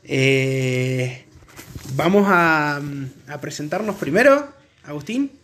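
Higher-voiced Argentine man, halting podcast talk. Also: expressive, fairly bright tone. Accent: Argentine, from Mendoza. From Mendoza, Argentina. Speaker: podcast co-host. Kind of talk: casual podcast conversation.

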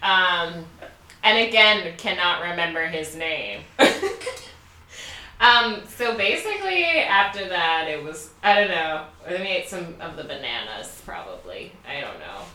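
Young woman from Baltimore, casual podcast co-host, bright voice, recorded off-mic in an echoey room. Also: on the low side, sing-song, a little slow, recorded from a distance. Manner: candid, laughing, many "like" fillers. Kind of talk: casual storytelling chat.

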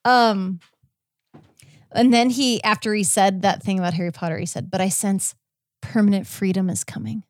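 A woman hosts a podcast in a chatty, informal style. Her voice sits at a middling pitch for a woman. The sound is dry and close, and she retells events conversationally, as if to a friend. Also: steady tone, moderate pace, dry recording. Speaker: podcast host. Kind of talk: casual conversational recap of a court case.

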